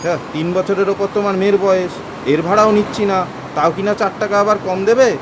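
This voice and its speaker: Man playing a high-voiced, brusque bus conductor. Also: steady tone, fairly quick, natural voice. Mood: irritated, scolding. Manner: hectoring, repetitive calling out, colloquial. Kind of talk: audio story acting. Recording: clear street and vehicle noise behind. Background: bus engine and traffic sound effects.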